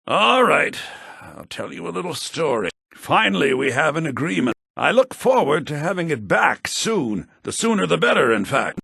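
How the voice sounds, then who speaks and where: An actor playing a sly, smug, self-serving merchant in a fantasy video game, with a theatrical, condescending delivery. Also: male voice, creaky, sharp tone, breathy edge, dry recording, studio quality, exaggerated voice. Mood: condescending, insistent. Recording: low-bitrate game audio rip.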